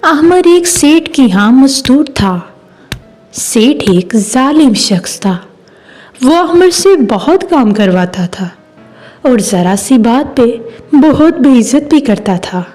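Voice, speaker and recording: A woman's voice: high-pitched, lively intonation, slow pace, a little mellow. A woman narrating a scripted story as a storyteller. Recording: music behind the voice. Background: background music.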